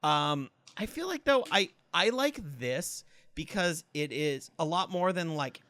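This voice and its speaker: A man co-hosting a podcast in casual comedic banter, telling things in a rambling, halting way. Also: on the high side, expressive, bright, forceful tone, clear, resonant tone, dry recording. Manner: rambling, halting storytelling with frequent "like". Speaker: podcast co-host.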